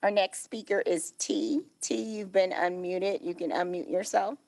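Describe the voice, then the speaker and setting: A woman acting as meeting clerk, calling up speakers in slow, formal, repetitive announcements. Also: on the low side, fairly even intonation, darkish tone. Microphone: remote call audio.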